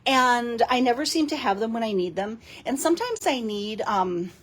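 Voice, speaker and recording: A hoarse woman with a raspy voice demonstrates card-making in a chatty, giggly live stream. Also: a little high, lively intonation, moderate pace. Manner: chatty, giggly, rambling with fillers. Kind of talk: casual live craft demo.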